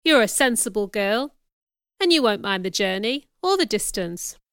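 A woman voicing a commanding, ardent gentleman in a storybook, with an earnest, emphatic delivery. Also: mid-range voice, very animated, normal speed, clean tone, no room sound, studio quality. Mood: calm, composed. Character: Mister Rochester, commanding, ardent gentleman in love.

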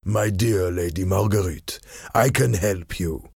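A man voicing a cold, cunning French ambassador of forty in an audiobook, smooth-tongued, deliberate and menacing. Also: deep voice, a little mellow, dry recording, studio quality, heavily acted. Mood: persuasive.

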